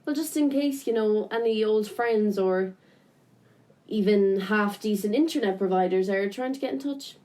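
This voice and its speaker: A woman's voice: medium pitch, moderate pace, a little mellow, close to an everyday voice. Wry, curious woman, played by an actress, naturalistic radio play chat, recorded at a distance. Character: wry, nosy woman sorting a relative's belongings. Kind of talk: naturalistic radio drama dialogue. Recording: distant from the mic.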